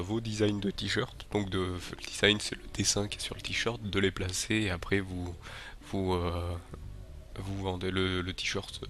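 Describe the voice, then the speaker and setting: A slightly low-voiced man with a cold chats casually to his viewers. He rambles, hesitates and circles back on his own sentences. Faint music plays behind him. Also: fairly bright tone. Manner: rambling, hesitant, many filler sounds and restarts. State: has a head cold. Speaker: channel host. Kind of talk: casual video announcement to viewers.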